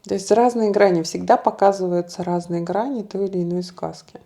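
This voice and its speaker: A woman talks through her ideas slowly and reflectively, as if thinking aloud. Her voice is mellow and gravelly. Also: mid-range voice, fairly even intonation. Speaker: co-host discussing a fairy tale. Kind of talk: casual analytical discussion.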